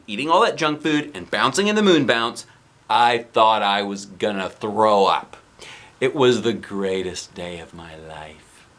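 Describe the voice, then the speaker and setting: A man reading a children's storybook aloud in an expressive, animated narrating style. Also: medium pitch. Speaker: storybook reader, dad.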